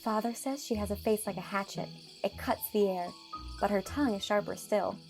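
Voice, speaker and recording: A woman's voice: medium pitch, brisk, a little mellow, faint music behind, lightly acted. An actress playing a pleasant, gossipy young country woman in a dramatized storybook, with a teasing manner. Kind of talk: dramatized audiobook character acting.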